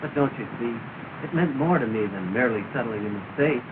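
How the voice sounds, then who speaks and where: An actor playing an earnest young gentleman who speaks politely and formally in a downcast tone, on an old film soundtrack. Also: medium pitch, expressive, a little fast, lightly acted. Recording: tinny, hissy 1930 film soundtrack.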